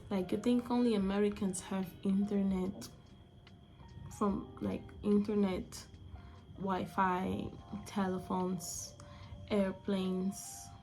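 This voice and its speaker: A woman's voice: medium pitch, flat delivery, slow, dark tone, faint music behind, low-quality recording. A young woman from Angola whose first language is Portuguese, chatting casually in her vlog and often hunting for words and restarting her phrases. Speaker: vlogger.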